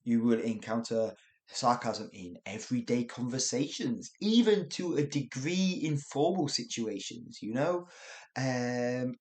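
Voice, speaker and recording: Slow-talking man with a lively voice. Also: mid-range voice. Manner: chatty and self-correcting, with frequent laughs. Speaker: English teacher, podcast host. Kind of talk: casual spoken English lesson.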